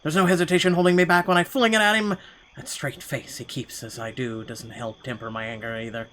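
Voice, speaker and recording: A man's voice: mid-range voice, little pitch movement, brisk, a bit bright, a little hiss or hum. A man reading first-person narration from a game aloud in a dramatic storytelling style. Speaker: gaming channel host reading a visual novel aloud.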